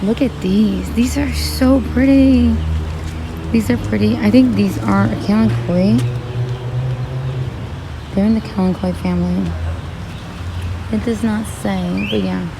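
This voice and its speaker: A woman with a slightly raspy voice, chatting casually to her viewers as she browses plants in a garden center. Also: medium pitch, fairly even intonation, unhurried, pauses often, noisy background. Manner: chatty, thinking aloud while browsing. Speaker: vlogger. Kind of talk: casual shopping vlog talking to viewers. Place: garden center of a large store.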